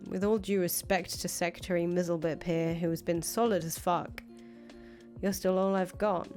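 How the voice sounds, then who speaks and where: Woman playing visual novel rebel leader: lowish voice, blunt, casual, volatile, music underneath. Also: fairly even intonation, normal speed, a little mellow, natural voice. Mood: pleading, frank. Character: brash, foul-mouthed revolutionary leader, volatile but attached to her friend. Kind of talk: visual novel dialogue reading. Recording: music behind the voice.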